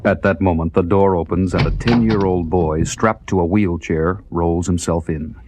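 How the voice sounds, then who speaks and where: A man's voice: sits low, steady tone, moderate pace, background noise. A man narrating a 1970s audio drama with dramatic, emphatic storytelling.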